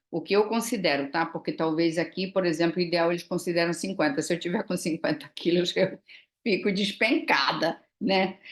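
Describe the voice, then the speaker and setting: A woman, chatting casually in a vlog and explaining things with frequent fillers and tag questions. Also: lowish voice. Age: 60s. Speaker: vlogger. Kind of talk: casual chat.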